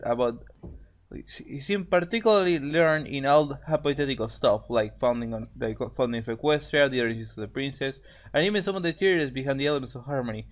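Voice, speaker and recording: A man's voice: medium pitch, a little fast, dull audio. A man narrating fan fiction in an amateur, casual way, often stumbling over phrases and repeating them. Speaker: story narrator, co-host.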